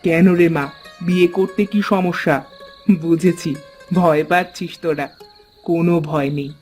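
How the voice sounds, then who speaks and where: A woman playing an aging, reassuring village mother in an animated folktale, with a coaxing, motherly manner. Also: lowish voice, a little slow, soft background music, natural voice.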